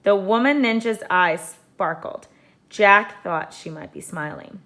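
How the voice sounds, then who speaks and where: A woman reading a children's book aloud like a teacher, in expressive scripted storybook narration. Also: mid-range voice, unhurried.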